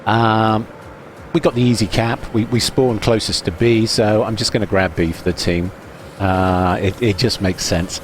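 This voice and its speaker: Low-voiced man narrating gameplay. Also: fairly even intonation, a little slow, a little hiss or hum. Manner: chatty, wry and self-deprecating, thinks aloud while playing. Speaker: gaming video host. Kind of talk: live gameplay commentary.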